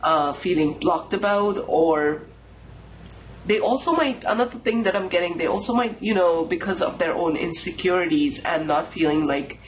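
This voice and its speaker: A tarot reader giving a pick-a-card reading, voice nasal and a bit raspy from a cold, musing slowly with pauses. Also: mid-range voice, slight background noise, rough recording. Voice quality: slightly raspy. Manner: unhurried, intuitive musing with pauses.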